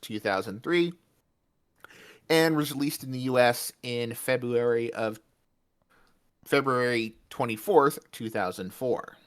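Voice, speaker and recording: A man co-hosting a podcast, running through an episode summary in a loose, jokey tone. His pitch sits in a middle range for a man. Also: a bit bright. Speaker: podcast co-host. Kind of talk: casual podcast recap.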